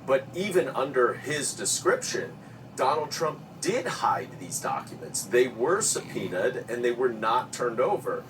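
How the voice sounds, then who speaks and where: A man's voice: medium pitch, very animated, a little slow, over music. A man giving emphatic, explanatory commentary as an expert on a news panel. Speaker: expert panel commentator, former congressional intelligence committee staffer. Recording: noisy, distant-sounding audio.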